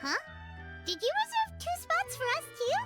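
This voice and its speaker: A woman voicing a tiny, perky, childlike companion in a video game, blunt and excitable. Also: extremely high pitch, moderate pace, soft background music, exaggerated voice. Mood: surprised, eager.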